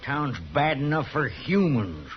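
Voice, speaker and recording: An actor playing a stubborn old prairie drifter with hesitant, folksy frontier speech in a 1950s radio Western. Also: male voice, a little high, sing-song, unhurried. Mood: wry, reserved. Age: older. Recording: dull, noisy 1950s radio recording.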